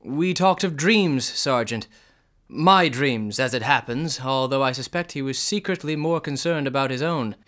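An actor playing an aloof, dreamy young bohemian painter in a radio drama, speaking in lofty, precise, philosophical phrases. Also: male voice, mid-range voice, moderate pace, a bit bright, close to an everyday voice. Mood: musing.

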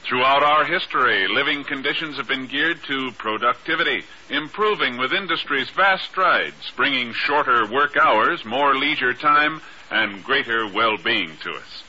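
A man's voice: mid-range voice, animated, unhurried, sharp tone, slight background noise. A man reading a script as a 1950s radio announcer, formal and emphatic in a declamatory way. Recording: muffled early-1950s radio recording.

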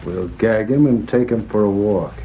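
Casting: ruthless outlaw leader played by a man, lowish voice, snappish commands, vintage radio drama on a muffled recording. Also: moderate pace, a little hiss or hum, close to an everyday voice. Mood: cold, menacing. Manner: curt, menacing, commanding. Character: ruthless, impatient male outlaw fur thief giving orders. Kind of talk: 1940s radio drama acting.

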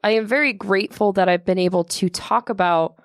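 Low-voiced woman podcast co-host. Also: a little fast, clean tone, no echo, clean studio sound. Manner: low, sultry-sounding voice, relaxed and sincere. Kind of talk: casual chat. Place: podcast studio.

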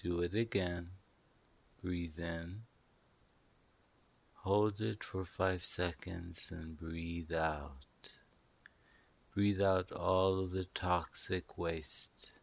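A man's voice: low voice, steady tone, extremely slow, vocal fry, pauses often. A man narrating a guided meditation in a soothing, instructive, hypnotic manner, repeating short phrases.

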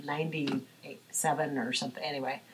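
A woman's voice: low-pitched, fairly even intonation, normal speed, a little hiss or hum. A middle-aged woman chatting casually as a podcast guest, conversational and self-deprecating. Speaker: podcast guest and quiz contestant. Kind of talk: casual chat.

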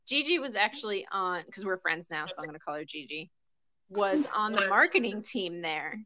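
A woman's voice: medium pitch, sharp tone. A woman co-hosting a casual podcast, chatting and laughing easily. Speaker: podcast co-host. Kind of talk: casual chat.

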